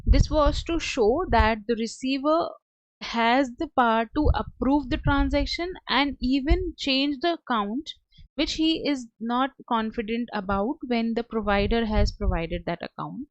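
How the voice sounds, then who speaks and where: A woman narrating a step-by-step software tutorial as a trainer, with a halting, hesitant delivery. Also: a little high, dry recording, highs cut off.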